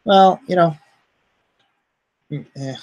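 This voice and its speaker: A middle-aged man streaming live from home, reading chat aloud and answering it in an easygoing, even-tempered way. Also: a little high, slow, highs cut off. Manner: calls himself soothing and even-tempered; reads chat comments aloud and answers them.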